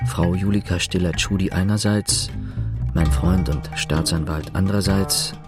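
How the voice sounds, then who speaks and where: An actor playing a reflective narrator writing his account, measured and ironic, in a German literary radio play. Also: sits low, over music. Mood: reflective, sceptical.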